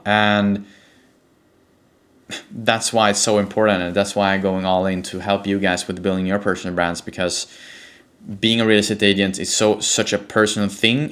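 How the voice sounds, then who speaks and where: Low-voiced man with a Swedish accent. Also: a bit bright. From Sweden. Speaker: presenter, personal branding coach for real estate agents. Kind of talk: explanatory talk to camera.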